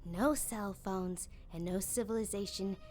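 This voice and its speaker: A mid-pitched female voice acted by a woman for a scornful, bossy camper in an audio drama. She is dry, dismissive and theatrical, with faint music underneath. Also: unhurried, dark tone, close to an everyday voice. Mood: ominous storytelling. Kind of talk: light-hearted audio drama acting.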